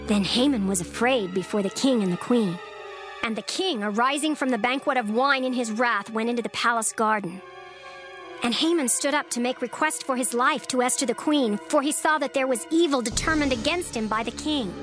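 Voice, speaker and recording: A woman narrating a dramatized scripture reading in a measured, formal manner. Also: a little high, fairly bright tone. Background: music.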